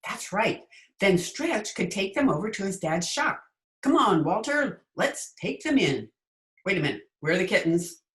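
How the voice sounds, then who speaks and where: A woman playing a quick-witted, sardonic schoolteacher in an old-time radio comedy, recorded remotely in a room. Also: mid-range voice, big pitch swings, fairly bright tone. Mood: mildly exasperated. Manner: dry, sardonic. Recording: roomy echo, remote video-call recording.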